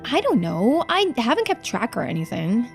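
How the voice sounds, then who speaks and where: A woman's voice: a little high, very animated, moderate pace, creaky, soft background music. A woman voicing a brash, nonchalant young island woman in a video game, with a teasing, self-assured manner. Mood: breezy, cool.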